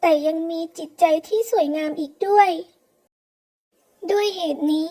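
Woman voicing a very high-pitched earnest young girl. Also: a little slow, mellow. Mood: admiring. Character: young girl, kind, chatty younger sister heroine. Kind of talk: comic read-aloud narration and dialogue.

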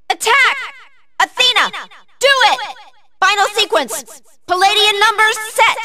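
A woman's voice: very high voice, pushed and bright, exaggerated voice. A woman playing an earnest, polite young female android fighter in a video game, calling out clipped, formal battle commands. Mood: battle-focused. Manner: clipped, formal machine-like status callouts.

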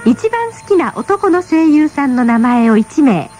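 High-pitched female radio announcer over music. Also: very animated, measured pace, mellow.

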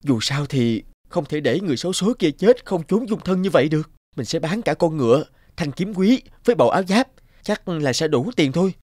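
Earnest young knight, fairly high voice. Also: male voice, lively intonation, brisk, a little mellow. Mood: worried, resolute.

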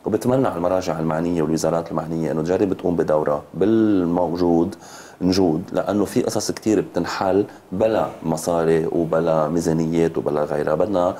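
Very fast, low-voiced Lebanese man. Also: fairly even intonation, mellow, a little hiss or hum. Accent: Lebanese Arabic. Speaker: road safety advocate, TV guest. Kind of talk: studio interview. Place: TV studio. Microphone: lapel mic.

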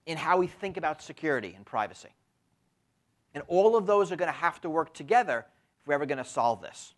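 Man, 50s, university lecturer; animated, rising and falling delivery, peppers points with tag questions; lapel mic. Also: high-pitched. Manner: frequent tag questions, lively emphatic delivery. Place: lecture room with window blinds.

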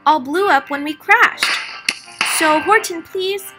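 A woman's voice: sits high, lively intonation, unhurried, loud music behind the voice, rough recording. A woman voicing a tiny, polite and grateful small-town mayor in a storybook, with a small piping voice and earnest rhyming speech. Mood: pleading.

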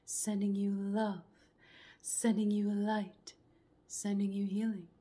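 A woman in her thirties speaking as an ASMR reiki healer, in a soothing, breathy soft voice that often drops toward a whisper, repeating gentle affirmations. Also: mid-range voice, level tone, slow pace. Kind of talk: slow ASMR guided relaxation, partly whispered and chanted. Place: small home room, indoors.

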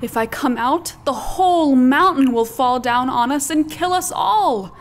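A woman's voice: high voice, lively intonation, unhurried, a little hiss or hum. A woman voicing a clever female sheep who feigns meek helplessness in a children's puppet show, pleading and melodramatic. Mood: feigned distress.

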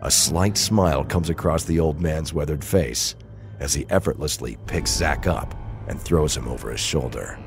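A man narrating an audio drama in an intense, portentous storytelling manner. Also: very deep voice. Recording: loud cinematic music under narration. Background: loud dramatic music.

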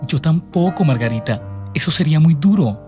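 A man's voice: on the high side, expressive, a little fast, lightly acted. Radio drama role: man as earnest, pleading young boyfriend, faint music bed. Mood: somber, supportive. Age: young adult.

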